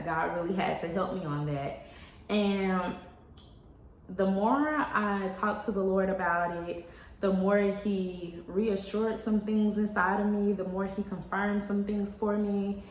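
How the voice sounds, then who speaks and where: A woman in her thirties, a stay-at-home mom, chatting casually to camera about her days at home, addressing viewers as y'all. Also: medium pitch. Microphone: phone camera. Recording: roomy home with faint ambience.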